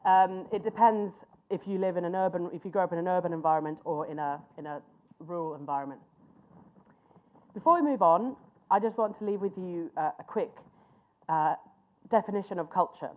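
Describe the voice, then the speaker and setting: A woman presents a master class to a live room, speaking conversationally and sometimes stopping to hunt for an English word. Her voice sits slightly low for a woman. The recording is muffled and lo-fi. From Finland. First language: Finnish. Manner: conversational, pauses to search for words. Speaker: conference speaker.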